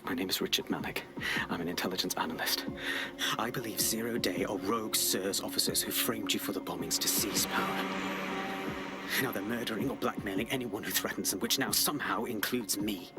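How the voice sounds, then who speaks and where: Game casting for a man: anxious, guarded British analyst, formal delivery, deepish voice, score underneath. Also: fairly quick, loud music behind the voice, lightly acted. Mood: grave. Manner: formal, clipped, grave. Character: guarded, anxious male intelligence analyst turned informant. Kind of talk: video game cutscene acting.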